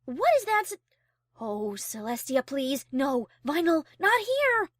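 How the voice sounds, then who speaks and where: A woman playing a haughty, high-class female pony who is prim, formal and easily flustered, in an audio drama. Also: sing-song, takes it slowly, no echo, clean studio sound, exaggerated voice. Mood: flustered, pleading. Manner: prim, formal, refined diction. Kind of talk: fan audio drama character acting.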